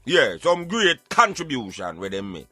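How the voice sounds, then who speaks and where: A man's voice: mid-range voice, huge pitch swings, normal speed, bright, forceful tone, no echo, soft background music. A Jamaican man arguing emphatically in Patois, in an impassioned debate. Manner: emphatic, argumentative, speaking in Patois. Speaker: radio show co-host.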